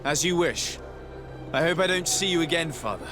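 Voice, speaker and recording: A man's voice: a little high, expressive, normal speed, over music, natural voice. An actor playing a composed young nobleman and diplomat in a video game, formal, self-assured and politely defiant. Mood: cool, defiant.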